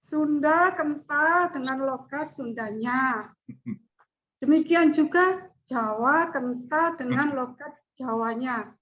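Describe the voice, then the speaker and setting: A middle-aged woman giving a formal class presentation in measured, deliberate speech. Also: high voice, a bit bright, slight background noise. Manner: slow, measured speech with chanted recitation. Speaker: student presenter. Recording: dull lo-fi audio.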